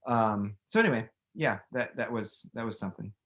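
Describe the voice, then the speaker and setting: A man's voice: lowish voice, moderate pace, a bit bright. A middle-aged man chatting casually as an author answering questions, thinking aloud and often restarting his sentences. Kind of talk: casual chat, explaining to students.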